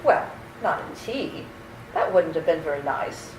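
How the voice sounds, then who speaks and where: A woman playing a sweet, serenely batty elderly aunt in a radio play, genteel and gently scolding. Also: low voice, expressive, measured pace, distant mic. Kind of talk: old-time radio play acting. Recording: echoey room, off-mic, slight noise.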